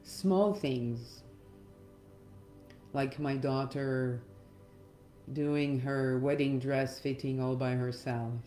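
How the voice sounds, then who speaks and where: A middle-aged woman gives a reflective guided meditation talk in a gentle, measured manner with pauses. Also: a bass voice, fairly even intonation. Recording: roomy echo, faint music underneath. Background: faint music.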